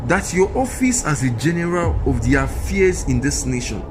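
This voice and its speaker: A man's voice: a little high, big pitch swings, moderate pace, noisy background. A Nigerian man playing an earnest, fatherly pastor in a radio drama, with a solemn, formal preaching manner. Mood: solemn, exhorting. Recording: music behind the voice.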